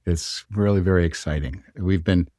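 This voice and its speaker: A man with a fairly low voice hosting a podcast interview, recorded dry with no room sound at all. He sounds eager and chatty, leading his guest with friendly questions. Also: normal speed, mellow, no room sound. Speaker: podcast host.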